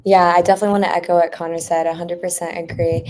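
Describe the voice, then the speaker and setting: A woman's voice: lowish voice, level tone, very fast, noisy background. A young woman answering conversationally as a student guest on an interview panel. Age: young adult. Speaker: former student board member, panel guest.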